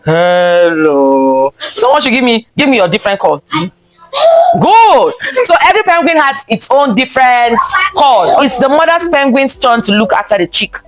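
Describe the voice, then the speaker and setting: High-pitched, animated woman reading to children. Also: moderate pace, faint music behind, poor audio. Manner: expressive, questioning, repeats phrases for young listeners. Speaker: teacher reading a picture book aloud to children. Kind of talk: interactive children's story time read-aloud.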